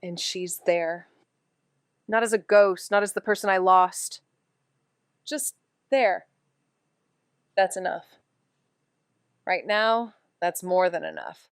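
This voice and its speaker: A woman's voice: fairly low pitch, animated, slow pace, pauses often, smooth, no room sound, clean studio sound. A woman acting a wry, introspective, guarded amateur investigator in a naturalistic mystery audio drama. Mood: reflective, hopeful. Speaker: actor in a neo-noir mystery podcast.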